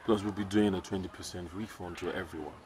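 A man in his thirties with a Nigerian accent, acting a clinic manager in a film drama and speaking in a measured, stern way. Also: deep voice, fairly even intonation, darkish tone, natural voice. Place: outdoors on a clinic balcony. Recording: distant mic with faint noise.

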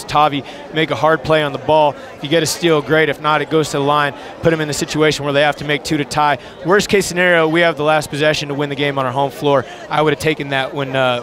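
A young man speaks as a basketball coach in a post-game interview. His voice sits a little high and his phrasing is informal and earnest. He is on a headset courtside, with a clear bed of crowd noise behind him. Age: young adult. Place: courtside in an indoor arena. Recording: crowd noise in busy arena.

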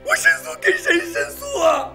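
A man's voice: extremely high pitch, very animated, normal speed, creaky, pushed and bright, soft background music, full character voice. A man acting in a film drama as a middle-aged, wrongly jailed prisoner, distraught and broken by grief. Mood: grieving despair.

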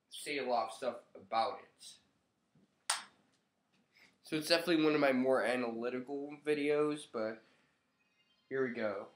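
A man's voice: mid-range voice, roomy sound. Nasal young man, casual profane vlog. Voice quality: nasal from a cold. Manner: loose, profane, offhand. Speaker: vlogger. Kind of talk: casual commentary to camera. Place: living room by a window.

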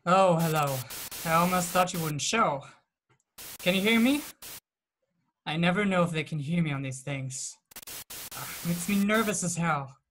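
A man's voice: fairly high pitch, unhurried, pauses often, fairly bright tone, slight background noise. A young man playing an impatient, old-fashioned gangster boss in a crime drama, slangy and grumbling into a laptop.

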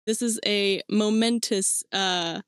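A woman's voice: mid-range voice, fairly even intonation, slow, smooth, no room sound, clean studio sound. A woman in her twenties from Mexico hosting her own podcast, talking casually and rambling with fillers and little laughs. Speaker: podcast host. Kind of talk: casual solo podcast talk. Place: small cluttered room indoors.

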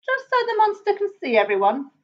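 A woman's voice: very high-pitched, huge pitch swings, a little fast, muffled recording, pitched above the performer's own voice, exaggerated voice. A woman voicing a pompous, bossy, cowardly male lion in a children's storybook, with a commanding old-fashioned manner.

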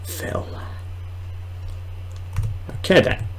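A young man giving casual, excitable commentary while playing a horror game, muttering and exclaiming to himself. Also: drawn-out words. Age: young adult. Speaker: gamer recording a let's play. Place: small indoor room at home.

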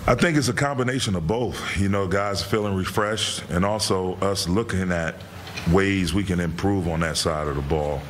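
A middle-aged man, a head coach, answering reporters' questions after a game in a measured way, with many fillers and repeated words. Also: deep voice, slight background noise. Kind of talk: postgame press conference answers. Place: press room in front of a sponsor backdrop.

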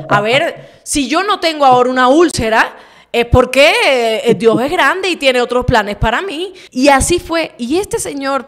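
A woman in her thirties with an Ecuadorian accent, hosting a political talk show and venting with indignation. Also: on the high side. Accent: Ecuadorian Spanish. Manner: indignant, emphatic, swears. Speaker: show host. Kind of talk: heated political commentary. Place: home studio, indoors. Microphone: desk mic on stand, video call.

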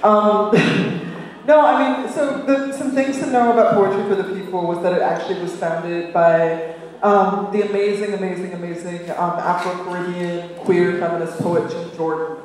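A woman's voice: lowish voice, moderate pace. Chatty woman writer in an echoing hall. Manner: chatty, animated, self-deprecating. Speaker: panel guest, writer. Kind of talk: casual panel chat. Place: large auditorium stage. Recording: echoey hall from far off.

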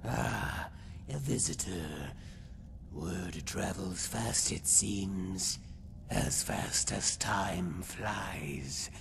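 Slow, gravelly, boastful lizard-man. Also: sits low, noisy background, full character voice. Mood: self-important. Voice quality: gravelly, breathy rasp. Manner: slow, pompous, theatrical storytelling. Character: boastful, eccentric Argonian lizard-man, self-proclaimed hero. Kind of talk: fantasy video game character monologue.

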